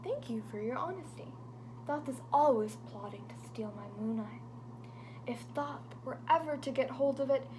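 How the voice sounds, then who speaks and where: A kind and wise sun god speaks low and hushed, his words sometimes dropping to a whisper, as a woman reads him aloud from a storybook. His voice sits fairly high and carries a faint hum behind it.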